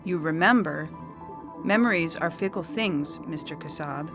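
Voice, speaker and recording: A woman voicing a composed female judge who speaks in a measured, formal, coolly patronizing way in an audio story. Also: deep voice. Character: composed, condescending female judge of a virtual court. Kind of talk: science fiction audio story dialogue. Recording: music bed under the voice.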